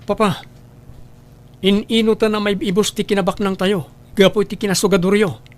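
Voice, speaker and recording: Man acting a high-pitched, earnest grown son. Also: flat delivery, normal speed, close to an everyday voice. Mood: alarmed. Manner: earnest, pleading. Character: earnest, distressed adult son, kind and helpful. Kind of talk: Ilocano audio drama acting.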